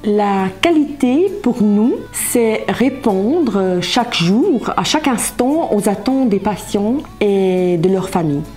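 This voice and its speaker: A woman with a dark, relaxed voice who speaks slowly. She sets out her points formally, one deliberate phrase at a time, like a spokesperson in a corporate film, with music underneath. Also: medium pitch. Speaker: hospital quality spokesperson. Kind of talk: corporate presentation interview. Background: background music.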